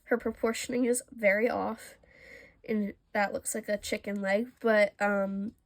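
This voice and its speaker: A woman's voice: mid-range voice, fairly even intonation, measured pace. A young woman, a little congested from allergies, chatting casually and self-deprecatingly as she shows her old drawings. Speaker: art vlogger. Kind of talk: casual sketchbook tour.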